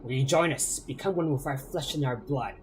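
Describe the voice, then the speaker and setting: A man voicing a grandiose, vengeful spirit that guards a ruined bunker, reading its lines in a slow, theatrical, declamatory way. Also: mid-range voice, a little hiss or hum, natural voice.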